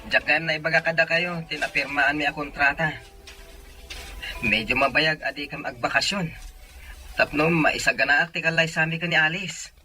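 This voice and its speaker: A man's voice: on the high side, fairly even intonation, normal speed, pushed and bright, faint music behind. A man playing a devoted young boyfriend and son who works away, in a radio drama. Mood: earnest. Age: young adult.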